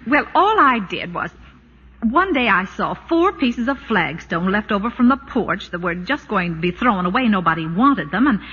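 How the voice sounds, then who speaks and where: Innocent flustered wife, 1940s radio comedy, bright animated voice, hazy echoing old broadcast. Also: female voice, medium pitch, normal speed, breathy edge, full character voice. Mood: innocently defensive. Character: gracious, flustered suburban wife, innocently self-justifying. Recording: muffled, echoey, noisy old radio broadcast.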